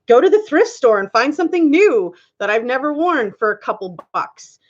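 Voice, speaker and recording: A woman's voice: sits high, sing-song, normal speed, dull audio. A woman of about forty with a Canadian accent, chatting casually and affectionately with viewers on a livestream in a giggly, chatty way. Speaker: livestream host.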